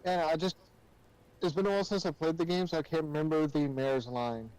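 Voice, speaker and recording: A man's voice: a little high, fairly even intonation, a little fast, darkish tone, smooth, rough recording. A man chatting casually as a guest on a game livestream. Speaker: guest co-streamer. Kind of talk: casual chat. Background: faint game music.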